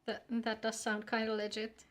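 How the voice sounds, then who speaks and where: A woman's voice: on the high side, monotone, moderate pace, a bit bright, a little hiss or hum. A woman game streamer chatting casually and playfully while she plays, joking along as she goes. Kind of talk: casual livestream chat while gaming. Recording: background music behind the voice. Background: music.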